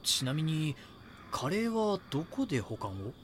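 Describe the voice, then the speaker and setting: An actor playing a young magazine reporter in an audio drama, polite, earnest and persistent. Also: male voice, fairly high pitch, big pitch swings, moderate pace, slight background noise. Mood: polite, probing. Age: young adult. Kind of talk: audio drama acting with inner monologue.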